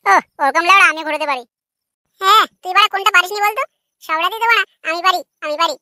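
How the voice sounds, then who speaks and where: A woman's voice: very high-pitched, rapid-fire, bright, forceful tone, dry recording, clean studio sound, heavily acted. A woman voicing a cheeky, foul-mouthed, argumentative village boy in a comic cartoon. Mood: boastful, threatening. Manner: brash, argumentative, swearing.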